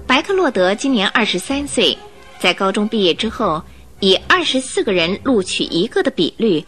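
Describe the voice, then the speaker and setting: A woman narrating a radio drama in an expressive, measured, scripted storytelling style. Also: medium pitch.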